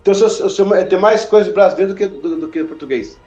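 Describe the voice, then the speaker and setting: A man hosting a church podcast interview in a casual, chatty way, jumping in with quick reactions. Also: sits high, mellow. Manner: chatty, jumps in with short reactions. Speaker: podcast host. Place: podcast studio. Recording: roomy, muffled studio audio with faint music.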